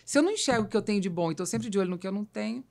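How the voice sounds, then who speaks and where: A woman's voice: mid-range voice, lively intonation, very fast, gravelly, a little mellow. A Brazilian woman hosting a business podcast, talking conversationally and explaining her points. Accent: Brazilian Portuguese. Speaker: podcast host.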